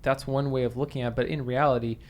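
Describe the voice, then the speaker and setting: A man's voice: medium pitch, little pitch movement, fast talker. A man hosting a podcast interview, talking casually with frequent restarts. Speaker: podcast host. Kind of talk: conversational interview.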